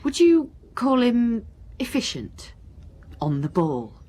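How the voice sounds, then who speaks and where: A woman acting a dry, sceptical police inspector in a radio drama, questioning in a measured, pointed way. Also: on the high side, huge pitch swings, warm tone, heavily acted. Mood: dry, probing. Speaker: actor in radio crime drama.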